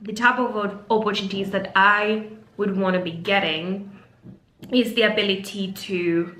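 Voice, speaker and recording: A woman's voice: medium pitch, fairly even intonation, moderate pace, bright, forceful tone, roomy sound, slight background noise. A woman from Mauritius with a Mauritian accent, a career coach talking unscripted to her camera in an earnest, reflective way, with frequent fillers. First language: Mauritian Creole. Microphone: camera mic.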